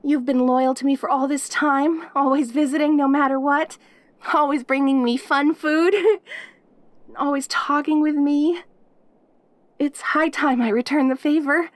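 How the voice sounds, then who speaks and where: A very high, girlish demon played by a woman, clingy and devoted in a yandere way. She speaks eagerly, tripping over her words, close and dry as if alone with the listener in an audio roleplay. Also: steady tone, unhurried, no room sound. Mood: devoted, sincere. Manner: eager, stammering, giggly, devoted. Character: perky, lonely, clingy yandere demon girl, centuries old.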